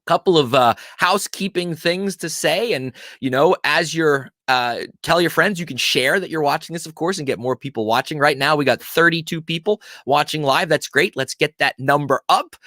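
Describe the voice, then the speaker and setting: A man's voice: mid-range voice, fairly bright tone, no room sound, clean studio sound. A man from Pennsylvania hosting a live stream, casually greeting viewers and chatting in a friendly, talkative way. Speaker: host of a live-streamed show.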